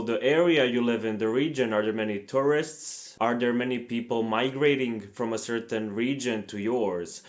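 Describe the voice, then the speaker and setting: A young man from Montenegro, in English, hosting a scripted, earnest explainer on learning languages. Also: mid-range voice. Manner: earnest, plain, slightly formal non-native phrasing. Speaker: language-learning channel host.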